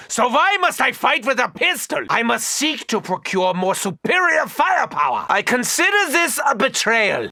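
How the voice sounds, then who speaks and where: An actor playing a brooding, eccentric, world-weary mad doctor in a video game, theatrical and wry, with a raspy voice. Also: male voice, high-pitched, bright, forceful tone, no echo, studio quality, exaggerated voice. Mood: disdainful. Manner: theatrical, melancholy, wry, formal. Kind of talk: video game character monologue lines.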